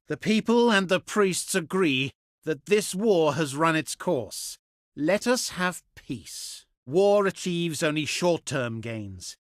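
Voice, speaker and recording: A man playing a haughty, cultured ancient Etruscan diplomat in a strategy game, speaking with formal, pompous, deliberate emphasis. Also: a little high, animated, dry recording, studio quality. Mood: lofty persuasion. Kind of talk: theatrical scripted game voice acting.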